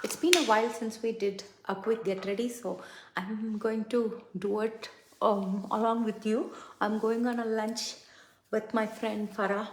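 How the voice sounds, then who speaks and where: Slow, chatty woman, Tamil native. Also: fairly high pitch, flat delivery, a little hiss or hum. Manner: chatty, rambling, many fillers. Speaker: makeup consultant and livestream host. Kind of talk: casual livestream makeup tutorial. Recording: echoey room.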